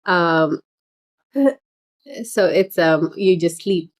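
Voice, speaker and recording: A middle-aged woman chatting loosely on a livestream, her voice sitting a little low. She rambles, restarts and fills gaps with "um" and soft laughs, warmly casual and unscripted. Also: expressive, extremely slow. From Philippines heritage. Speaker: political candidate hosting a livestream.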